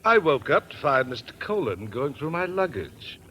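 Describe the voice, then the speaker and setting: Male, courteous marksman, composed and precise, forceful, fairly high voice, old radio drama. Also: very animated, moderate pace. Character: courteous, self-assured male traveler and marksman. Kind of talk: 1940s-50s American radio acting. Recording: noisy vintage radio recording.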